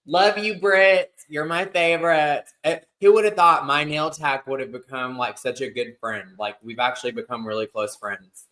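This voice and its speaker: A man's voice: fairly high pitch, moderate pace, a bit bright, rough recording. A man in his thirties co-hosting a casual podcast, chatty and rambling with lots of fillers. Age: 30s. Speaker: podcast co-host. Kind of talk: casual chat.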